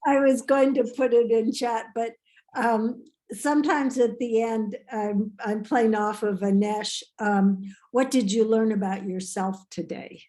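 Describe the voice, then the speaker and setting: An older woman speaks slowly on a video call, her voice mellow and soft. She talks hesitantly, with frequent fillers and pauses. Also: fairly high pitch, steady tone. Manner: hesitant, many fillers, gentle laughter. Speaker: workshop participant. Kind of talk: casual chat.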